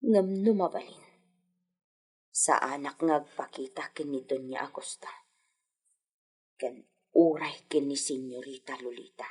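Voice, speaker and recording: A brooding, secretive mother in an Ilocano radio drama. Her voice is extremely breathy and husky, with an Ilocano accent, as if thinking aloud. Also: sits low, measured pace, long pauses.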